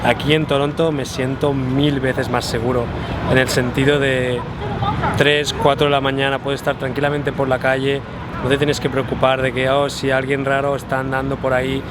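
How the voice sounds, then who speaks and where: Chatty Spaniard vlogging on a busy street. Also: male voice, mid-range voice, flat delivery, normal speed. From Spain. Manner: chatty, pointing things out as he walks. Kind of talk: casual chat walking outdoors. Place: city street outdoors. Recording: street noise behind.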